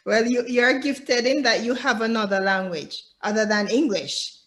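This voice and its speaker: A woman with a somewhat high voice hosting an interview. She asks questions attentively and keeps the guest going with frequent short acknowledgements. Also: normal speed, fairly bright tone.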